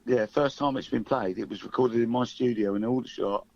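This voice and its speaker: A man's voice: mid-range voice, steady tone, a little fast, vocal fry, a little mellow, lo-fi sound. A middle-aged man, a singer-songwriter guest, chatting casually in a radio studio interview.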